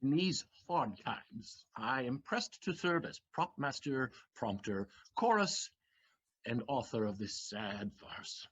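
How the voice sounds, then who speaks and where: A man's voice: mid-range voice, very animated, slow pace, a bit bright, slightly rough, heavily acted. Figaro, a man playing a wry scheming valet. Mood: bitter. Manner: wry, theatrical declaiming with sudden laughs. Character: clever scheming young male valet Figaro. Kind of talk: community theatre audio play.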